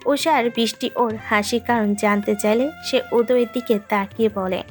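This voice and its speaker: A woman narrates a romantic audio story in a fairly high voice. Her delivery is scripted storytelling, with music playing softly behind her. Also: fairly even intonation, moderate pace. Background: background music.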